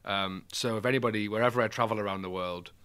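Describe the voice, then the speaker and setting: A man's voice: lowish voice, fairly even intonation, fast talker, a bit bright, clear, resonant tone. A young man with a Mancunian accent, speaking candidly and with self-deprecating humor as an interview guest over a video call. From England. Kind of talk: conversational interview.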